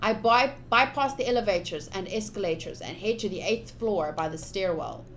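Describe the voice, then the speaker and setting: A woman livestreaming a visual novel playthrough, reading the text aloud with excitable, playfully dramatic and casual commentary. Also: medium pitch, moderate pace, a little hiss or hum, rough recording. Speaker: streamer playing a visual novel.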